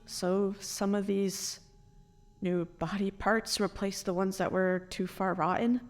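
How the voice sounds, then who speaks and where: Resurrected, grieving mother for audio drama played by a woman: slow, anguished delivery in long reverb, music beneath. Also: mid-range voice, fairly even intonation, lightly acted. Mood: wary, questioning. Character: grieving, stitched-together woman brought back from death.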